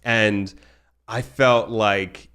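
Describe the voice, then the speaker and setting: Man, fairly low pitch, casual podcast host, halting conversational phrasing. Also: steady tone, bright, forceful tone, clean tone. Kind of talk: casual interview chat.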